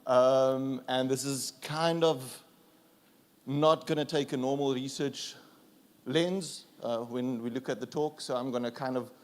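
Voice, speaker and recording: A South African man whose first language is Afrikaans, giving a relaxed, self-deprecating conference presentation with fillers and restarts. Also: medium pitch, a little slow. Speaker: conference speaker.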